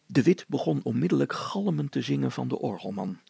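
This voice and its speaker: A man's voice: medium pitch, big pitch swings, brisk, creaky, dark tone, breathy edge, dry recording. A man reading a scripted story as its narrator.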